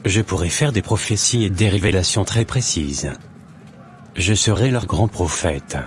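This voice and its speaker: A man voicing a deceitful, persuasive false pastor who serves a dark master in a cartoon. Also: low voice, a little slow, slight background noise. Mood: eager, servile. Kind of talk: dramatic animated dialogue.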